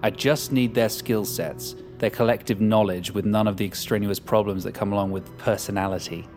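A man's voice: fairly low pitch, fairly even intonation, normal speed, darkish tone, faint music behind, natural voice. An actor playing a cold, domineering male leader in an audio drama, speaking in a commanding, callous way. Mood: cold, impatient.

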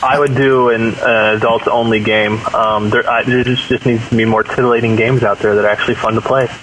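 A man's voice: mid-range voice, little pitch movement, brisk. A man on a podcast panel, talking casually on a noisy recording. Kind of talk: casual group chat. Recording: clearly noisy audio.

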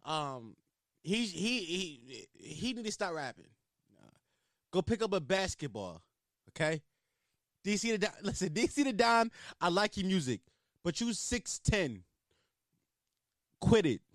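Male podcast host with a Philly accent, rambling and profane, slightly high voice with a wide, animated pitch. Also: measured pace, pauses often, dry recording, clean studio sound. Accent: Philadelphia. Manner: rambling and profane, full of filler words, laughs at his own jokes. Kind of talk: casual solo podcast chat.

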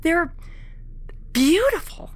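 A woman's voice: very high-pitched, big pitch swings, drawn-out words, full character voice. A woman playing a wry, philosophical rebel prisoner in a science fiction audio drama, with a lofty, theatrical, teasing manner. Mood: reverent awe. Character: wry, world-weary alien rebel prisoner, grandly philosophical.

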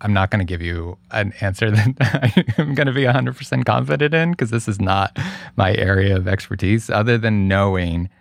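A middle-aged man speaking as an interview guest in a casual, thoughtful, halting way, often hedging what he says. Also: fairly low pitch, vocal fry, no room sound. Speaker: guest, radio producer.

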